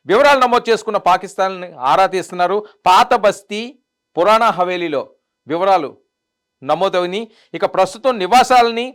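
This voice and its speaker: A man's voice: sits high, a bit bright. A man delivering news commentary in an emphatic way, repeating phrases for stress. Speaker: news presenter.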